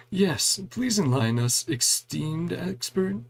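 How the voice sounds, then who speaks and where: A man's voice: fairly high pitch, lively intonation, slow pace, gravelly, husky. A man giving casual gameplay commentary, reading game dialogue aloud and adding wry, chuckling reactions in a laid-back way. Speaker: gaming streamer doing a playthrough.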